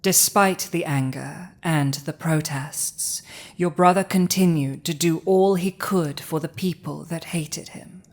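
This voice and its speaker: A woman plays a commanding female dragon in an intimate audio drama. The voice is very low for a woman and unhurried, with weighty pauses that give each phrase a dramatic, imposing gravity. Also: heavily acted. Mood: somber, quiet. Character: villainous commanding female dragon, intense yet softening to compassion. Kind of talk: dramatic ASMR audio roleplay.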